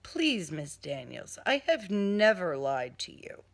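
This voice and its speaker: A man's voice: high-pitched, sing-song, slow, vocal fry, fairly bright tone, full character voice. A woman voicing a coolly polite, menacing male serial killer in an audiobook, with formal, genteel wording. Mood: persuasive, controlled.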